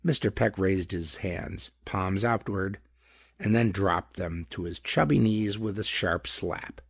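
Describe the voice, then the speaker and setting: A man's voice: low-pitched, slow pace, breathy edge, dry recording, studio quality. A man reading a pulp detective story as dramatic scripted narration. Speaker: audiobook narrator.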